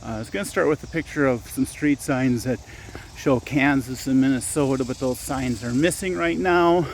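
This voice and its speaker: A man's voice: medium pitch, moderate pace, a little hiss or hum, poor audio. Rambling man vlogging outdoors on a walk. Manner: rambling, with frequent restarts and self-corrections. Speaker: channel host and storyteller. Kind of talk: casual walking vlog talk. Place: outdoors on a walking path at dusk.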